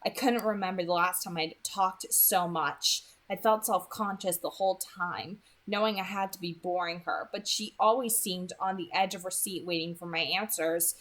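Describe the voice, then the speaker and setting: A woman's voice: lowish voice, moderate pace, hardly any pauses, a bit bright. A woman reading a novel aloud as a hobby audiobook narrator, telling the story expressively.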